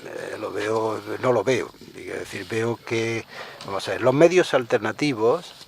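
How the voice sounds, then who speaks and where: A man's voice: on the low side, a little slow, gravelly, a little hiss or hum. Spanish man, pessimistic radio interview guest. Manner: trails off, then presses points forcefully and pessimistically. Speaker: journalist guest.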